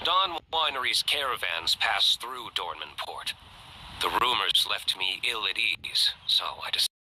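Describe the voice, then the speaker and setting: A man's voice: fairly low pitch, animated, measured pace, sharp tone, slight background noise, exaggerated voice, low-quality recording. An actor playing an aloof, brooding young nobleman in an anime-style game, curt, formal and reserved. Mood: guarded. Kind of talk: dramatic anime game acting. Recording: music behind the voice.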